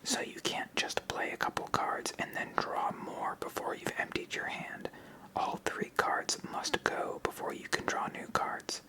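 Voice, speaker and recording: An ASMR host speaks entirely in an unvoiced whisper. The delivery is calm and methodical, walking the listener through a card game one step at a time. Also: a little slow, rough recording.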